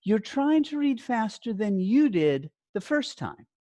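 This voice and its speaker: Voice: medium pitch, very animated, measured pace, warm tone, no room sound. A language teacher giving step-by-step activity instructions in short, simple sentences with check questions.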